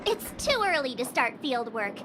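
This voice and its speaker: A young woman voicing a playful, eager detective heroine in a game dub, bouncy and animated. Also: high voice, normal speed, background noise. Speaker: player character. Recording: game dub over music.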